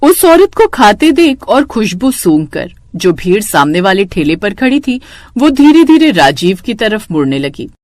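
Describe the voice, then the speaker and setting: Animated female story narrator. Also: medium pitch, unhurried, no room sound, studio quality. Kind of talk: scripted moral story narration.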